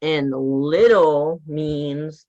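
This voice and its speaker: A man's voice: fairly high pitch, extremely slow, darkish tone. A man co-hosting a casual lesson, reading out items with brief, matter-of-fact prompts. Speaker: co-host reading vocabulary words. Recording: dull, muffled sound.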